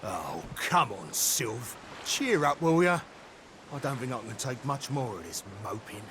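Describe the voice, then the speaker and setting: An actor playing an excitable, loyal sidekick in a video game, fretful and bluntly caring, fussing in exclamations. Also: male voice, medium pitch, unhurried, background noise. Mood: concerned, exasperated.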